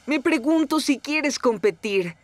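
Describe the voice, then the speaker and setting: Un actor de acento latinoamericano interpreta a una pequeña locomotora de vapor alegre y amistosa en una caricatura infantil. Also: male voice, very high-pitched, animated, measured pace, no room sound. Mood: playful. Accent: Latin American. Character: cheerful, friendly little steam engine. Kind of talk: animated children's cartoon acting.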